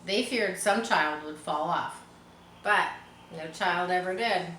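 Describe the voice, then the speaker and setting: A woman narrates a children's book with expression and informal asides. Her voice is bright and medium in pitch, recorded in an echoey room with faint noise behind it. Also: slow. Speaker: storybook reader.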